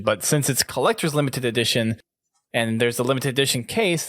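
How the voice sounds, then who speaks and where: A man's voice: mid-range voice, fairly bright tone, no room sound. Man hosting a casual podcast, dry close sound. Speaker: podcast host. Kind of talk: casual gaming podcast chat.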